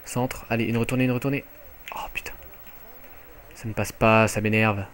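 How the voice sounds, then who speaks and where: A young man with a French accent giving live commentary on his own online match from home, excitable and jokey, swearing often. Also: on the low side, normal speed, a little hiss or hum. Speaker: gaming commentator. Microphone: headset mic.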